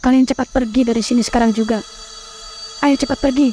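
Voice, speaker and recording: A woman's voice: on the high side, fairly even intonation, quick pace, warm tone, faint music behind, lightly acted. A woman voicing a hostile, greedy young stepmother in a horror cartoon, giving curt, dismissive orders.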